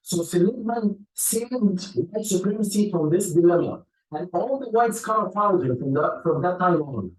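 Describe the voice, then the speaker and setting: A man with a fairly high voice lectures in a halting way, stumbling over repeated words and fillers. He sounds far from the microphone in an echoey room, like an old archive clip. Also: lively intonation. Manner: hesitant, many fillers and repeats. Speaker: scholar being interviewed. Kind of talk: lecture-style explanation.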